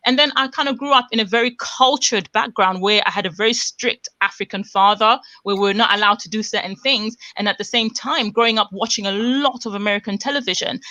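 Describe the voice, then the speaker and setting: Bright-voiced woman, earnest. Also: medium pitch, no echo. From born in Zambia, raised in the United Kingdom. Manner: earnest, reflective, builds points at length. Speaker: panel guest on a live stream. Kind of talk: casual discussion.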